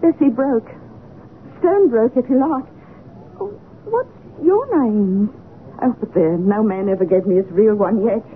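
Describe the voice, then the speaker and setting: Poor young street girl, timid yet cheeky, animated pitch, noisy vintage radio. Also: measured pace. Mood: wary, grateful. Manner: hesitant, wary, deferential. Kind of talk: old radio drama acting. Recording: tinny, noisy old radio recording.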